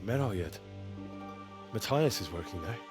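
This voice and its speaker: A young, vengeful medieval soldier in a game. His low voice is earnest and resolute, with music clearly audible behind it. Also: animated, measured pace. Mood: worried. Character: young blacksmith-turned-soldier, earnest, vengeful, respectful to lords. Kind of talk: dramatic video game cutscene acting.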